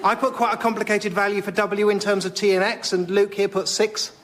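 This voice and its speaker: A man's voice: sits high, level tone, a little fast, fairly bright tone, a little hiss or hum. A man playing a fussy, earnest, slightly pompous fellow in radio sketch comedy, articulate but hesitant. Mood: anxious, puzzled. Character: fussy, earnest storyteller and anxious exam-taker.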